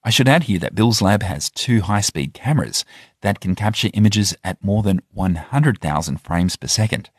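A man's voice: on the low side, animated, normal speed, husky, no room sound, studio quality. A man narrating a news report in a scripted way, linking interview clips.

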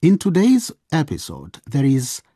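Husky, animated male narrator. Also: fairly high pitch, measured pace, no echo, studio quality. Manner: expressive storytelling. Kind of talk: scripted radio drama narration.

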